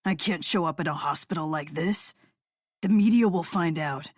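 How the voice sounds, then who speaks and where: A woman's voice: low voice, normal speed, no echo, clean studio sound, voice pushed down. Woman voicing a weak, hollow-voiced sick young man.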